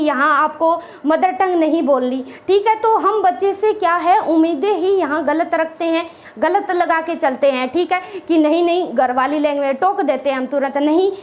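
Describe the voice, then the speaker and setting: A woman's voice: very high-pitched, highs cut off. A woman teacher giving an exam-prep lecture, mixing English words into Hindi and checking in with "ठीक है" again and again. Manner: explains in Hindi mixed with English words, repeats "ठीक है" often.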